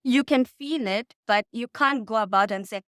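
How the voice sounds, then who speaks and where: A woman's voice: fairly high pitch, moderate pace, a bit bright, clear, resonant tone, no room sound, studio quality. A woman in her thirties with a Zambian accent, giving casual, reflective interview answers in carefully chosen words. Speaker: interview guest. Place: indoors in a home.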